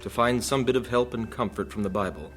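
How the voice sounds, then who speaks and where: A man's voice: medium pitch, a little fast, a bit bright, lightly acted. A man reading scripted narration for an audio drama. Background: guitar music.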